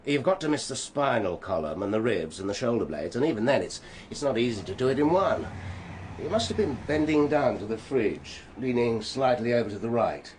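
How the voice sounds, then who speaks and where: A man's voice: mid-range voice, sing-song, a little fast, a little mellow, slight background noise, natural voice. An actor playing an unflappable, blithely morbid police pathologist in a radio drama, sardonic and teasing as he talks. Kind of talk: naturalistic radio drama acting.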